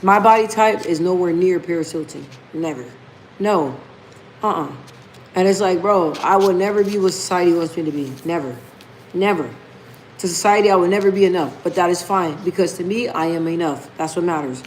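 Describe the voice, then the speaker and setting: A woman's voice: fairly low pitch, fairly quick, darkish tone, a little hiss or hum, low-quality recording. A woman hosting a casual live stream, talking emphatically, repeating key phrases and reading viewer comments aloud. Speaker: livestream host.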